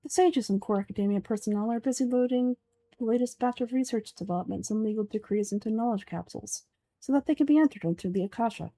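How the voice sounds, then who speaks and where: A woman voicing a calm, aloof young male scholar with a deadpan, measured delivery in a fantasy game. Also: fairly high pitch, dry recording. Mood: matter-of-fact.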